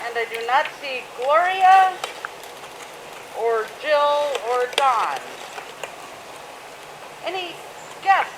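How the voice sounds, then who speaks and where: A woman's voice: high voice, animated, drawn-out words, pauses often. A woman with a Minnesotan accent casually running a club meeting in a park, with loose, chatty talk and fillers. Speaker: meeting presider. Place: outdoor park. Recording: noisy outdoor recording.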